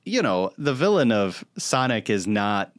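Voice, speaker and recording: Man, low voice, podcast co-host, informal halting storyteller, casual chat, close dry sound. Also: big pitch swings, dry recording. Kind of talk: casual chat and anecdote telling.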